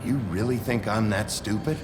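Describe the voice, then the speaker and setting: An actor playing a gruff, hard-bitten sheriff in a video game, blunt, terse and short-tempered. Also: male voice, on the low side, normal speed, heavy background noise, natural voice. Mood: stern.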